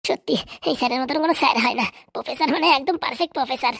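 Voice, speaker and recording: Woman voicing a high-pitched, sly nurse. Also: racing, creaky, fairly bright tone, no room sound, studio quality, full character voice. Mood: wheedling. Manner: pushy, wheedling, chatty. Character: sly, greedy female nurse in a hospital scam. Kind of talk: comedy cartoon dialogue.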